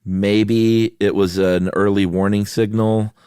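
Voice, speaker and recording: A man with a low voice speaks as a podcast co-host. His delivery is informal and conversational, easygoing as he explains, and the sound is close and dry. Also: flat delivery, slow pace, warm tone, smooth, dry recording.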